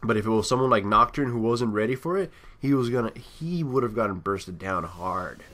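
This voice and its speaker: A man's voice: fairly low pitch, animated, fairly quick, fairly bright tone. A man doing casual, unscripted game commentary, thinking aloud in a rambling way and often trailing off. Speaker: gameplay commentator.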